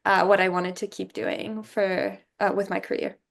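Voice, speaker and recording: A young woman from Brazil, a former student, talking through her path in a relaxed interview, thinking aloud with many "um"s and "you know"s. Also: mid-range voice, moderate pace, vocal fry. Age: young adult. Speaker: alumna guest. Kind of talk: casual interview conversation.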